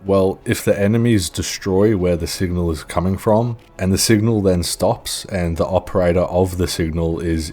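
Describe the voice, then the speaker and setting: A man with a very low voice narrates an explainer casually, with faint music behind him. His delivery is informal and offhand, like an unpolished video host talking to viewers. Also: warm tone.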